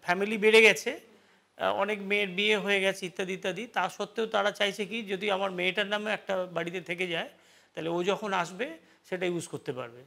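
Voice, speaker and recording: Sharp, high West Bengali man. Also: fairly quick, gravelly. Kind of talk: explanatory interview remarks.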